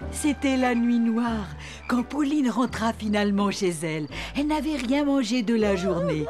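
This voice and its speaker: A woman playing a gentle storyteller, narrating an animal tale in a French children's cartoon. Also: fairly high pitch, lively intonation, moderate pace, over music, lightly acted.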